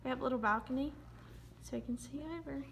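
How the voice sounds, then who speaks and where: A woman's voice: high voice, fairly even intonation, fast, gravelly, slight background noise. A young woman, giving a casual, chatty vlog tour on a handheld phone. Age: young adult. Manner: chatty, upbeat, filler-heavy. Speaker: vlogger. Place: rental condo indoors. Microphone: handheld phone at arm's length.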